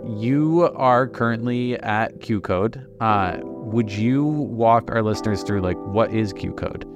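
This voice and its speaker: A man's voice: lowish voice, takes it slowly, highs cut off, music in the background. A man hosting a podcast, delivering introductions and questions to a guest. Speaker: podcast host. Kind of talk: scripted show introduction and interview questions.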